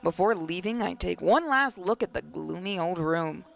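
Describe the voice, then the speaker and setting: Expressive woman gamer reading aloud, noisy background. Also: lowish voice, normal speed, gravelly. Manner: expressive dramatic reading with playful reactions. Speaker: gaming video host. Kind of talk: let's play, reading a visual novel aloud with asides.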